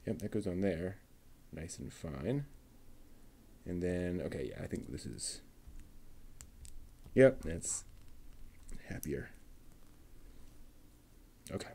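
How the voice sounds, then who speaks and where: A man quietly talking himself through assembling a plastic model kit, half-mumbling as he works. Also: low voice, sing-song, breathy edge. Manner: mumbling to himself while working, unhurried. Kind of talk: muttered build commentary.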